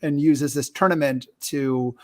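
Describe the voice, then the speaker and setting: A man, likely middle-aged, hosting a casual movie discussion, talking haltingly and often rephrasing himself. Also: on the high side, fairly even intonation, a little mellow. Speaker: host of a movie review show.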